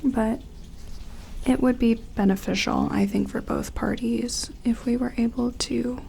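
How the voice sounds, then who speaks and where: A woman doing a gentle ASMR roleplay as a careful scientist examining an alien, speaking softly and often slipping into a whisper, hesitant and reassuring. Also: on the high side, fairly even intonation, unhurried, slight background noise. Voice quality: soft, breathy, often dropping to a whisper.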